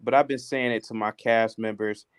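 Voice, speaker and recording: A man's voice: fairly low pitch, fairly even intonation, normal speed, smooth, no echo, lightly acted. A man calling in and posing as a reality star, insisting and talking over the host in a dry, stiff way. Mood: insistent. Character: male caller faking a celebrity, stiff and dry. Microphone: call-in audio.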